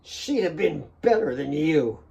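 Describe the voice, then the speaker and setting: A man acting a brutal, forceful, desperate villain. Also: a little high, very animated, unhurried, vocal fry, pitched above the performer's own voice, full character voice. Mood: desperate, spiteful. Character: brutal, lying, desperate man, injured and menacing.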